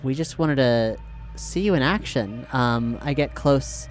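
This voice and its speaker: A woman playing a tabletop role-playing game, improvising in a playful, halting, casual way. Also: extremely low pitch, faint music behind. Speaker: tabletop role-play player.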